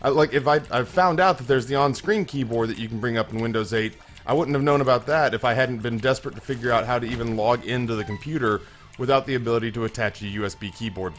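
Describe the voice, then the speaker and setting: Young man, slightly hoarse, rambling self-mocking game commentary, frequent restarts, middle-pitched voice, faint music underneath. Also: quick pace, fairly bright tone. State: a little hoarse. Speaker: gaming channel host. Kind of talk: casual let's-play commentary.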